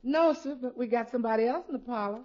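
Actress as forthright maid, highish voice. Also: female voice, fast talker, darkish tone, natural voice. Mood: matter-of-fact. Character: polite, forthright household maid. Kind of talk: 1950s radio sitcom acting. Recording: dull, noisy old radio recording.

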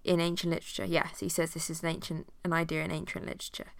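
A woman's voice: fairly low pitch, little pitch movement, fast talker, creaky, no echo. A woman teaching an informal Bible study. She has a cold and sounds nasal, with many fillers as she reads passages aloud.

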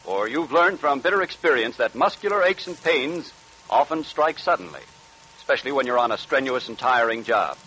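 A man reading a radio advertisement in a deliberate, emphatic 1940s announcer style. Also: medium pitch, animated, bright, forceful tone, breathy edge, rough recording. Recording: vintage 1940s radio recording.

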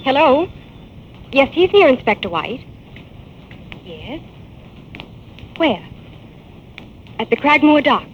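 A woman's voice: sits high, sing-song, takes it slowly, pauses often, full character voice. An actress playing a loyal, practical young woman assistant in a 1940s radio drama. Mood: concerned. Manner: plain, supportive questions. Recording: dull old radio transcription with faint hiss.

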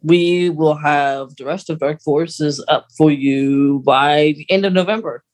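Male podcast co-host, higher-than-average pitch, relaxed conversational delivery. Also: measured pace. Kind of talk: casual podcast chat.

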